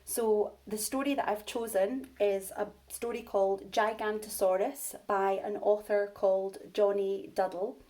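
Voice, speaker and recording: Woman, primary teacher, unhurried, soft mellow tone, child-directed storytelling. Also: medium pitch, fairly even intonation. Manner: simple, child-directed delivery. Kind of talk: expressive children's storybook reading and informal address to pupils.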